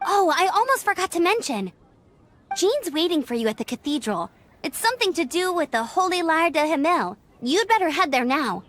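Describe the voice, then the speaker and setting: A woman's voice: very high-pitched, big pitch swings, normal speed, fairly bright tone, a little hiss or hum, full character voice. A woman voicing a cheerful, friendly young female scout. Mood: upbeat. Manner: upbeat, friendly. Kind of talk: game cutscene dub. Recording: game cutscene with music behind.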